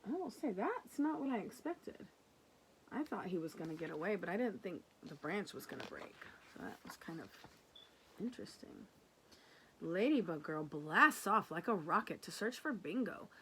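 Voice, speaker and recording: A woman reading a children's picture book aloud in an expressive way, with casual asides. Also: lowish voice, slight background noise, poor audio. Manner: animated, unhurried narration. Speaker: storybook reader.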